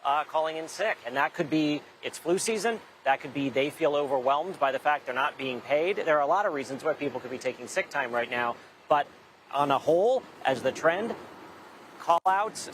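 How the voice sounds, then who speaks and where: A male transportation correspondent with a slightly high voice gives a live, conversational news report. He speaks from a remote location, with faint outdoor traffic noise behind him. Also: a bit bright, rough recording.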